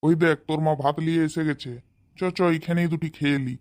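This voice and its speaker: A hard-working, homely village father in a comedy cartoon, acted out by a performer. He talks quickly at a middling pitch. His manner is fond and comic. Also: level tone, darkish tone, lightly acted. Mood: hungry, comic.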